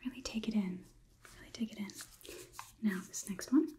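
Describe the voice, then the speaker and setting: A young woman playing a polite, condescending AI robot overlord in a slow, breathy ASMR whisper. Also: medium pitch, mellow, natural voice. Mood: clinical, probing. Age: young adult. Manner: slow, soothing, clinical, patronizing. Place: small indoor room.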